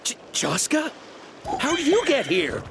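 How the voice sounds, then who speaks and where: A man's voice: very high-pitched, wildly swooping pitch, a little slow, creaky, loud music behind the voice, heavily acted. An actor playing a greedy, cowardly poacher henchman in a video game, blustering and panicky. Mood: panicked.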